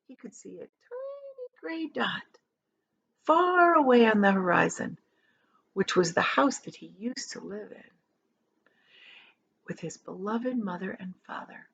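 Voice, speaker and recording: Woman, children's read-aloud, teacherly storytelling, animated pitch, dramatic emphasis, drawn-out key words, slightly muffled lo-fi sound. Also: vocal fry, slightly rough.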